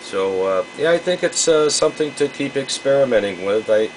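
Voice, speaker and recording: A man chatting casually as an amateur radio operator, speaking haltingly with frequent pauses. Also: mid-range voice, animated, slight background noise, rough recording. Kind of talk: casual radio contact chat.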